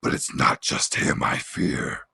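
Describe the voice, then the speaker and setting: A man voicing a massive three-headed hellhound in a low breathy growl, giving grave, halting warnings in a tabletop game. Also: pushed and bright, no echo. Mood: fearful, ominous.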